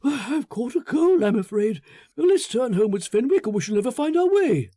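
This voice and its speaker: A man's voice: extremely high pitch, big pitch swings, normal speed, dark tone, no echo, clean studio sound, voice pushed up, heavily acted. A man reading a story, voicing a thin, nervous, fussy man who is fretful and polite.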